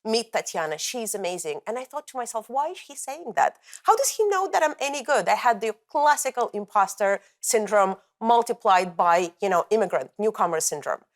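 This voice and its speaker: A woman's voice: medium pitch, animated, fairly quick, few pauses. A middle-aged woman, born in the former Soviet Union, speaking as an interview guest and telling her story with passion. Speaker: interview guest, corporate coaching leader. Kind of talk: conversational interview.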